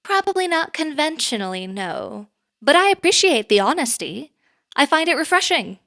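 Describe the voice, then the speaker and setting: A woman's voice: high-pitched, very animated, moderate pace, fairly bright tone, exaggerated voice. A woman playing a poised female boss in an audio drama, speaking formally and precisely as she earnestly interviews an applicant. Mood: polite, curious. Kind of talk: audio drama acting, conversational.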